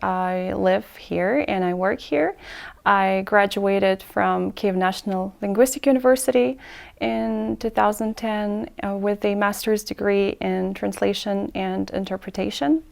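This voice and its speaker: A woman, an English tutor answering interview questions in a measured way that lays out her points in order. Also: medium pitch, fairly even intonation, gravelly. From Ukraine.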